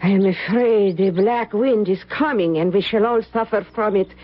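A woman's voice: mid-range voice, moderate pace, close to an everyday voice. An actress playing an aloof, mysterious Spanish lady in an old-time radio drama, languid and formal. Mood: languid, distant. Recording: dull, faintly noisy vintage radio recording.

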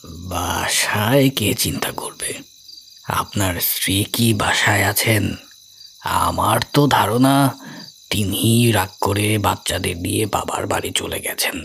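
A man playing a brilliant, calmly amused middle-aged sleuth who explains his reasoning in a measured way, in an audio story. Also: fairly low pitch, vocal fry, slight background noise, full character voice. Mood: teasing.

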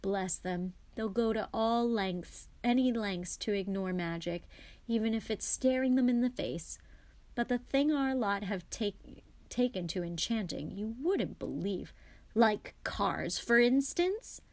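A man's voice: sits high, animated, a little slow. A woman voicing an eager, easily flustered middle-aged wizard father with a hesitant, guilty manner, as in an audiobook. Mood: enthusiastic. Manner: stammering, hesitant when cornered. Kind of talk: audiobook character voice.

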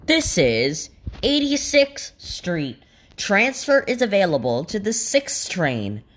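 Slow, melodic woman making train announcements. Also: mid-range voice. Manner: formal, measured, list items set apart by pauses. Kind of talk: scripted transit announcements.

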